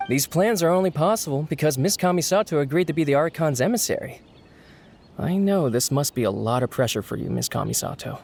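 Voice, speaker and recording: A man's voice: fairly high pitch, expressive, brisk, vocal fry, darkish tone. A man voicing an earnest, polite, enthusiastic event organiser in a video game, explaining in a courteous, businesslike way. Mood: deferential. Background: game music.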